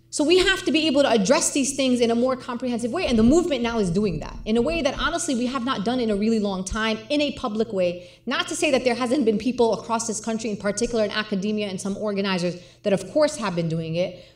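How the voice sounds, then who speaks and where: A woman speaking on stage with a handheld mic in a lecture hall, impassioned and emphatic. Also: a little high. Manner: impassioned, emphatic, gestures a lot. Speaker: guest speaker, organizer. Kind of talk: public conversation on stage. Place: large lecture hall with audience. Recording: roomy hall sound.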